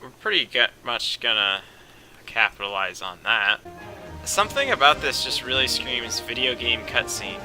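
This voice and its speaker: A man's voice: medium pitch, animated, moderate pace, sharp tone, soft background music, poor audio. A man casually commentating on a game, rambling, sarcastic and profane.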